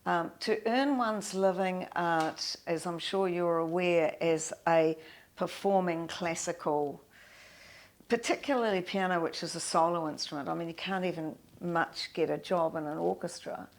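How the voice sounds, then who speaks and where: An older woman, reminiscing in an interview in a halting, thoughtful way with many pauses. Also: fairly low pitch. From New Zealand. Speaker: guest, pianist and composer.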